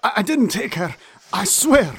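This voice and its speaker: An actor playing a frightened petty crook in an audio drama, pleading and stammering in rough working-class speech. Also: male voice, high-pitched, big pitch swings, unhurried, fairly bright tone, heavily acted. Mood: panicked pleading. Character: desperate, frightened male street courier and petty crook.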